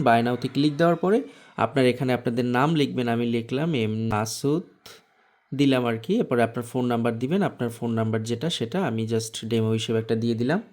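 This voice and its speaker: Bangladeshi guy doing a software tutorial, medium pitch, walks thru it step by step. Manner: explanatory, step-by-step. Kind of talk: informal screen tutorial narration.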